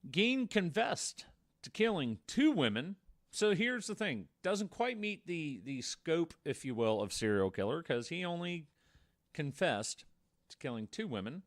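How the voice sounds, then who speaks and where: A man's voice: a little high, very animated, a little slow. A man co-hosting a podcast, telling true-crime stories in a conversational way with wry, darkly joking asides. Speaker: podcast co-host.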